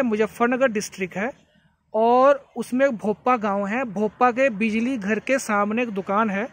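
A man's voice: extremely high pitch, fairly bright tone. A man giving a casual, chatty product demonstration outdoors, often repeating himself and addressing viewers as brothers. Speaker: farming vlogger. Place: outdoors beside a tractor by a green field.

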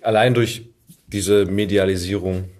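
A man's voice: low voice, fairly even intonation, measured pace, a little mellow. A man hosting a podcast in casual chat, laid-back, with short interjections and loose remarks. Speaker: podcast host.

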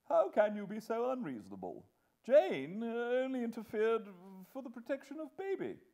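A man's voice: very high-pitched, wildly swooping pitch, normal speed, dark tone, pitched above the performer's own voice, exaggerated voice. A man voicing an exasperated, helpless husband and father in an audiobook, protesting in desperate exclamations. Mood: remonstrating. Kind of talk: audiobook character voice.

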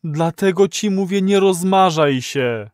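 A man's voice: high voice, measured pace, darkish tone, dry recording, studio quality. A man acting as a weary, sullen, mature husband in a dystopian radio play, with a dour, scolding, resigned manner. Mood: stern, cold. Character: weary, sullen, controlling middle-aged husband.

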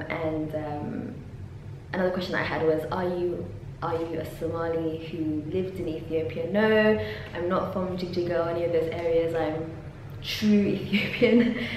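A woman's voice: low-pitched, moderate pace. Chatty young woman in an echoey office. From London, England. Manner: chatty and animated, many ums and laughs. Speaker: PhD student vlogger. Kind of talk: casual vlog to camera. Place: university office lab, indoors. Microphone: camera on desk, then handheld selfie. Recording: very echoey room, faint hum.

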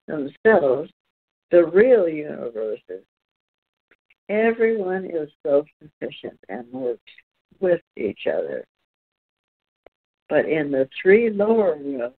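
A man with a slightly high voice speaks very slowly, breaking his sentences into deliberate pieces as he reads and reflects. The sound is narrow and thin, like a phone line. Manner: halting, deliberate phrasing with frequent breaks. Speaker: presenter reading aloud and discussing. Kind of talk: measured reading aloud from a spiritual book, then discussion.

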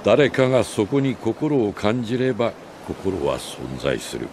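An older man acting a solemn, remorseful old sage in a Japanese video game cutscene, speaking gravely and with deliberate pauses. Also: on the low side, sing-song, background noise. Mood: solemn, earnest. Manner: grave, measured, philosophical delivery with deliberate pauses.

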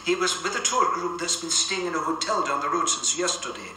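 Stiff formal castle official, very bright highish voice, reserved, radio play. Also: male voice, expressive, fairly quick, reverberant, far from the mic, slight background noise, poor audio. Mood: reserved concern. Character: stiff, understated male castle contact. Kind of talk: radio drama acting.

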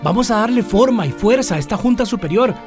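An actor playing a resolute, concerned colonial town leader in a radio drama, emphatic and deliberate. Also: male voice, high voice, lively intonation, a little mellow, faint music behind.

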